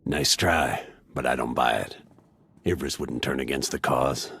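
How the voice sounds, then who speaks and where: An actor playing a suspicious, hot-headed military captain in a video game, blunt and commanding. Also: male voice, rumbling low, unhurried, vocal fry, sharp tone, slightly rough, heavily acted. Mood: stern, suspicious. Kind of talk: video game character acting.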